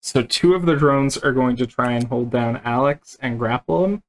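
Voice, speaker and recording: A man acting as game master, explaining rules and narrating tabletop play in a halting, unscripted way. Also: mid-range voice, rough recording.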